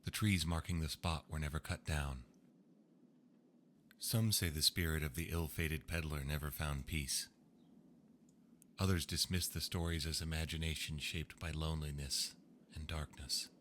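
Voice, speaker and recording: A man reading old ghost stories aloud in a slow, hushed storytelling manner. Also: a bass voice, fairly even intonation, a little hiss or hum. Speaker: narrator. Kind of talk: scripted ghost story narration.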